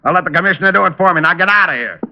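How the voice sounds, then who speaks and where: A man's voice: sits high, lively intonation, rapid-fire. A man playing an authoritative, stubborn police lieutenant with a blunt, clipped manner in 1940s radio acting. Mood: angry. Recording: muffled old-time radio recording.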